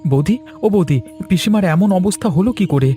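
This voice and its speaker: Man voicing an excitable, fast-talking village husband. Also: sits high, faint music behind. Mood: shocked. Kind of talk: Bengali moral cartoon dialogue.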